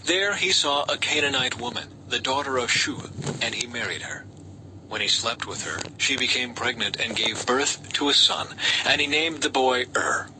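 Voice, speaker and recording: A man reads a Bible story as scripted narration, in a measured storytelling way with lightly dramatized dialogue. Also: medium pitch. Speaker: audiobook-style scripture reader. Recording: faint background noise.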